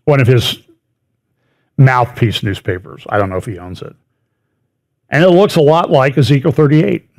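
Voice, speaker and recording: A man's voice: mid-range voice, normal speed, pauses often, a little mellow. A middle-aged man giving a conversational lecture over slides, stressing words by repeating them and dropping in wry asides. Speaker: speaker giving a prophecy update talk.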